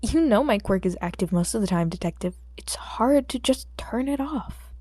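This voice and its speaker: A woman's voice: fairly high pitch, animated, moderate pace, mellow, no room sound. Woman voicing a cheeky, defensive teenage boy vigilante. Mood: protesting. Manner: protesting, exaggerated. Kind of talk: audiobook character dialogue.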